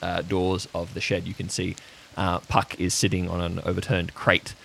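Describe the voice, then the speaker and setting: A man acting as game master, improvising descriptive scenes in a halting way, addressed to the players. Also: sits low, completely flat delivery, vocal fry, a bit bright, slight background noise.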